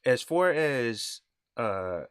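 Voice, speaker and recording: A man's voice: mid-range voice, big pitch swings, extremely slow, clean tone, no room sound, clean studio sound. A young man hosting a live-stream interview, who asks loose questions that often trail off, with a habit of saying "you know what I'm saying.". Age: young adult. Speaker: host.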